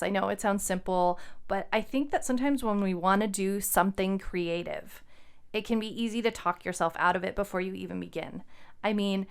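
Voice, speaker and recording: Clear-voiced woman podcaster, encouraging and informal. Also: mid-range voice. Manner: encouraging, informal advice-giving. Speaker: podcast co-host and fiction writer. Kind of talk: casual conversational podcast talk.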